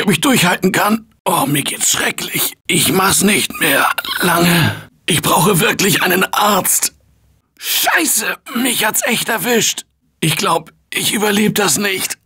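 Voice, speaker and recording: A man voicing an earnest, panicky office guy surviving a zombie apocalypse in a video game, husky and emphatic in colloquial short barks. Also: high voice, expressive, slow, bright, forceful tone, full character voice. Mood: hurt, weary.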